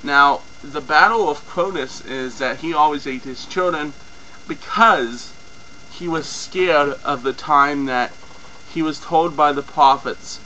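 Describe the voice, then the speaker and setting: A young man casually explaining a topic off the cuff, with a lot of ums and self-corrections, his voice a little hoarse from a cold. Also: mid-range voice, pushed and bright, dull audio. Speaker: mythology video host. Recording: faint mains hum.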